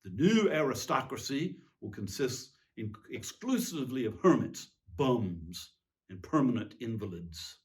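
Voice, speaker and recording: A man's voice: mid-range voice, big pitch swings, measured pace. A man playing a weary, self-pitying tyrant king in a theatrical monologue, fussy, pompous and plaintive. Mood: scornful. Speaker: reader giving a dramatic reading. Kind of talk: theatrical dramatic monologue reading.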